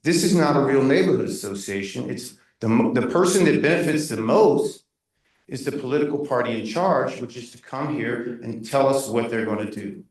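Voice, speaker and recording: A man speaks up at a neighborhood meeting, loud and insistent, restarting his sentences as he pushes his complaint. He is not on the microphone, so his voice comes from well off across a very echoey room. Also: mid-range voice, expressive, moderate pace. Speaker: resident giving public comment. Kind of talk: impassioned public comment at a neighborhood meeting. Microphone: room mic at a distance, not speaking into a handheld. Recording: very echoey room, voice far from the mic.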